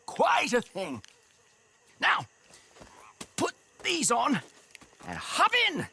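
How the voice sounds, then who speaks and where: Casting: florid, excitable gentleman balloonist for a game, high-pitched, wildly swooping delivery, ever so courteous. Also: extremely slow, gravelly, a little hiss or hum, heavily acted. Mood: jaunty, courteous. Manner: flowery, courteous, exclamatory speech. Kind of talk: video game acting.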